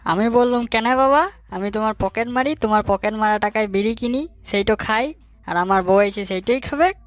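A man playing a hapless, cheeky young bachelor in a comic cartoon, in colloquial rural western West Bengal dialect with a pitched-up voice. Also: normal speed, no echo, soft background music. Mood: naive. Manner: colloquial, pleading, bantering.

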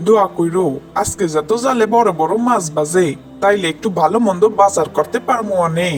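High-voiced rural Bangladeshi fisherman played by a man. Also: fairly quick, soft background music, natural voice. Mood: pleading, placating. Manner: plaintive, placating, colloquial. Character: poor, hard-working, put-upon village fisherman husband. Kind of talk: cartoon voice acting.

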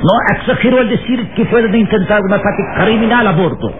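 Actor as insistent scheming accuser, tinny old radio. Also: male voice, high-pitched, a little fast, close to an everyday voice. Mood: urgent accusation. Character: scheming, alarmist male accuser aboard a plane. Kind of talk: old radio serial acting. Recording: scratchy, tinny old radio serial recording.

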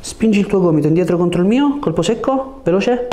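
Bright-voiced, low-key male chiropractor. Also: animated, fairly quick. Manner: short coaching commands with reassurance. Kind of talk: calm hands-on instructions to a patient. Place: small treatment room indoors. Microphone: lapel mic. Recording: noticeable room background noise.

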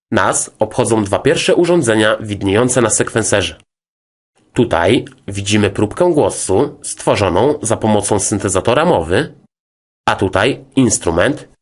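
A man narrating a scripted tutorial as a music software instructor, in a formal, methodical, explanatory way. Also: lowish voice.

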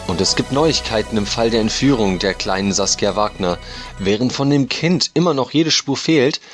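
A man's voice: fairly low pitch, fairly bright tone, natural voice. A man playing an earnest local news reporter in an audio drama, announcing formally.

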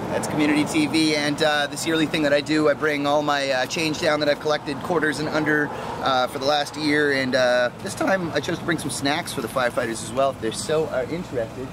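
A man's voice: fairly high pitch, fairly even intonation, brisk, few pauses. A man in his thirties hosting a casual community video, chatting in a rambling way with many ums and uhs. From Canada. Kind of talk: casual vlog chat. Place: inside a car at night, then outdoors on a city street. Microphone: camera mic, from a passenger seat and then from a handheld camera. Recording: noisy outdoor street sound, often distant from the mic.

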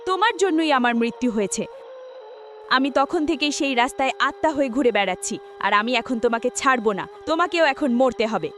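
A woman's voice: high voice, expressive, brisk, a bit bright, faint music behind. A woman playing the vengeful ghost of a jilted young woman in a horror cartoon, dramatic, accusing and menacing. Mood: bitter, menacing. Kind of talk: melodramatic horror cartoon dialogue.

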